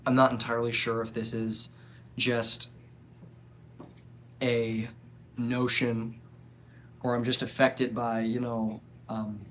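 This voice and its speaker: A young man with a cold and a stuffy nose, talking earnestly and haltingly in a personal reflective monologue. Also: medium pitch, level tone, fairly bright tone, a little hiss or hum. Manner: earnest, halting, with frequent pauses. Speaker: vlogger.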